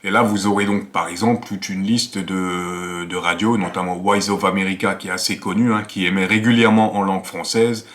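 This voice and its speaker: A man's voice: low voice, level tone, fairly quick, few pauses, a bit bright. A man, presenting a casual tutorial in a conversational, explanatory way with frequent tag words. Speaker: amateur radio video host. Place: small attic home office, indoors.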